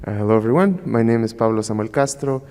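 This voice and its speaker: A man from Ecuador speaking as a research panelist, thoughtful and measured, with frequent ums. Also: mid-range voice, lively intonation, a little mellow. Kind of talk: conference panel talk. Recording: reverberant hall.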